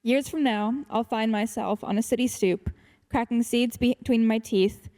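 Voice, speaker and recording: A woman's voice: fairly high pitch, steady tone, normal speed, dark tone. A teenage girl, a student poet, who reads a poem and then answers questions with many fillers and restarts. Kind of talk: poetry reading and casual answers.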